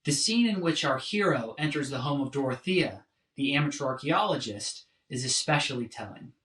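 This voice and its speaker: Bright-voiced man lecturing in a roomy space. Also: mid-range voice, lively intonation, moderate pace. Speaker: lecturer, philosophy scholar. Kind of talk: scripted lecture narration. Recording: roomy echo, distant from mic.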